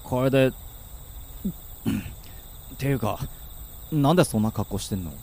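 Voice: medium pitch, animated, unhurried, darkish tone, a little hiss or hum. An actor playing a cool, level-headed teenage schoolboy in a Japanese audio drama, talking in a casual, dry, frank way. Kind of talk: Japanese anime-style audio drama acting.

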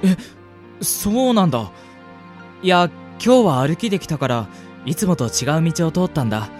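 A young man playing a gentle, sentimental university student in a radio drama, with an earnest, polite manner. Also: fairly high pitch, very animated, normal speed. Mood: reassuring. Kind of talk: radio drama acting with narration. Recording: music bed under the voice.

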